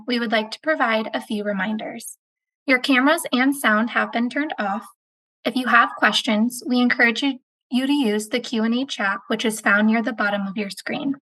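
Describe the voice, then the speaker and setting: A woman's voice: a little high, sharp tone, distant mic, rough recording. A woman hosting a webinar, reading a scripted welcome and housekeeping announcements. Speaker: webinar host.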